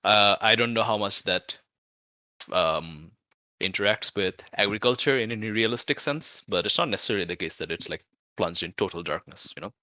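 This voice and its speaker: A man in a casual group discussion, pitching ideas as a tabletop roleplay player. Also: lively intonation, fairly quick, bright, forceful tone, no echo. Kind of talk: casual group worldbuilding chat.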